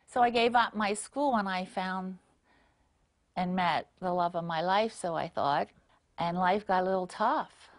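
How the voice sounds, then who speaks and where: A woman's voice: lowish voice, unhurried. A woman tap dance teacher telling her own story in an earnest, personal way during an interview.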